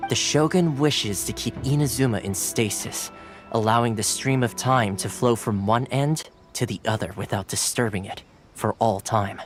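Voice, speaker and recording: A man voicing a polite, composed retainer who explains things in a measured way. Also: mid-range voice, lightly acted. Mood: calm. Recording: game audio with clear music behind.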